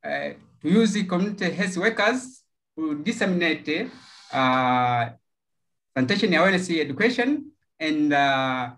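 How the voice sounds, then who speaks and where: Halting man pitching a project. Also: lively intonation, fairly bright tone. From Tanzania. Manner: halting, many fillers and repeated words. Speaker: project pitcher from a local NGO. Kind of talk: prepared pitch over a video call. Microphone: video call.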